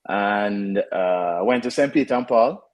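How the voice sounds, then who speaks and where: A Jamaican-accented man in his fifties speaks in a fairly low voice. He talks as a soccer head coach in a casual interview, easygoing and conversational, with fillers and restarts. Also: measured pace.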